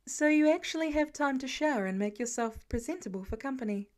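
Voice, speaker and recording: A woman voicing a patient, gently firm adoptive mother in a story reading, with measured delivery. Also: high voice, animated.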